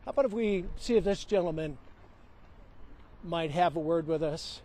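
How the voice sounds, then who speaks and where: A man's voice: fairly high pitch, normal speed, warm tone. A man who is a TV news anchor doing live street interviews outdoors in a city park, chatting and bantering easily with passers-by. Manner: chatty, jokey banter with strangers.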